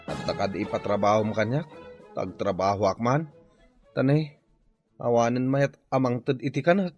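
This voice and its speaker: A man's voice: mid-range voice, moderate pace, a little mellow, dull audio, soft background music, lightly acted. A man with a Filipino Ilocano accent playing a hungry, jobless father who pleads plaintively in a radio comedy drama. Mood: eager. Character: hungry, pleading jobless husband and father longing for his family.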